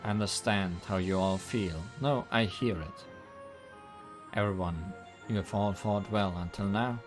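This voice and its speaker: A man's voice: fairly low pitch, over music, lightly acted. A man doing a solemn, commanding woman sage. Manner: solemn, oratorical, measured speechmaking. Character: commanding woman sage leader rallying her followers.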